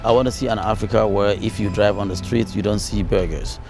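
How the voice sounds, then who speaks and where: A man's voice: lowish voice, fairly even intonation, brisk, music in the background. A man from Ghana, a city councilor, giving earnest interview answers with fillers and repeated phrases.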